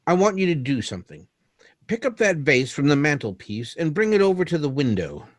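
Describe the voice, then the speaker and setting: A man's voice: on the high side, sing-song, a little fast, no echo, studio quality. A man playing an uneasy scientist in a radio drama, formal and hesitant, by turns deferential and commanding.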